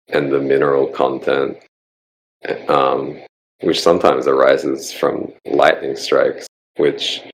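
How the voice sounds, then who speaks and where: A man with an Australian accent hosting a casual online group chat, musing in a relaxed way with frequent ums. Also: extremely low pitch, gravelly, low-quality recording. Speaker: podcast host.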